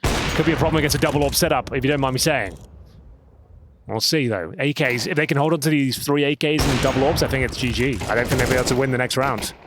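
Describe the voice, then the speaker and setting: A man's voice: mid-range voice, fast talker, noisy background. A man giving playful live play-by-play commentary on an esports match. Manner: chatty, playful, coins pet terms. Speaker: esports caster. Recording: clicks and game gunfire behind.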